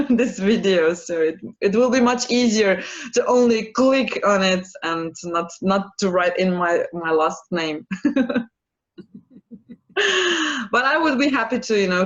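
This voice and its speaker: A woman speaking English with a Slovene accent hosts a relaxed chat about healing sessions. She hesitates, restarts her sentences and laughs lightly between thoughts. Her voice comes through a dull, lo-fi video call line. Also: mid-range voice. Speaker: quantum healing practitioner, co-host. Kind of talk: casual chat to viewers.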